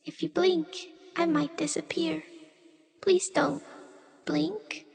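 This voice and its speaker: A woman's voice: extremely high pitch, fairly even intonation, slow pace, gravelly, a little mellow, exaggerated voice. A woman playing a weary, cryptic otherworldly small creature in a poetic radio play, speaking in solemn riddles. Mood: weary, fed up. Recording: long cavernous reverb.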